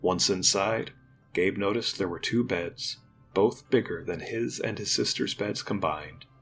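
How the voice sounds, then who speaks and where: Guy narrating an audio drama, lowish gravelly voice, scripted story read, faint music under it. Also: a little slow, fairly bright tone.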